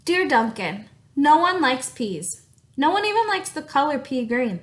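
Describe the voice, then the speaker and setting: Woman as Esteban, a pompous high-voiced runaway crayon. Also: sing-song, unhurried. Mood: defiant, grand. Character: pompous runaway pea green crayon adventurer.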